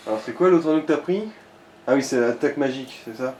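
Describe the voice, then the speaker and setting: A man's voice: mid-range voice, lively intonation, fast, darkish tone, a little hiss or hum. A man in casual gaming chat, explaining and giving directions to the player in a helpful, slightly hesitant way. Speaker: co-commentator guiding the player. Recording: echoey room.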